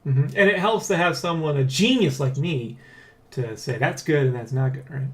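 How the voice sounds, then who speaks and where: Excitable, higher-pitched man hosting a casual vlog. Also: normal speed. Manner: excitable, rambling, freely swearing. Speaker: host of a development blog. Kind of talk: casual vlog chat, addressing viewers.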